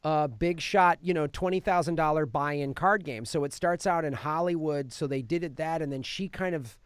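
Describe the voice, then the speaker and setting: A man with a slightly high voice hosts a casual film podcast in a dry studio. He retells things in an animated, sweary way and slips into acted-out quotes. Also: moderate pace, smooth, no room sound. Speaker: podcast host reviewing films. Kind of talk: casual film review chat.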